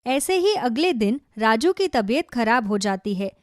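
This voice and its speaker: A woman's voice: on the high side, very animated, unhurried, dry recording, studio quality. A woman giving scripted narration for a cartoon moral story.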